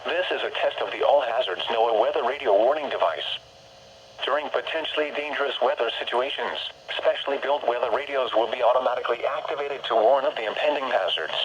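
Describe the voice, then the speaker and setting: A synthetic male voice with an American accent reads a scripted bulletin in a formal, measured, impersonal way over weather radio. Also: medium pitch. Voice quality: synthetic text-to-speech voice. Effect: weather radio broadcast. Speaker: automated weather radio announcer. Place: broadcast received on a radio. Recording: muffled, noisy weather radio reception.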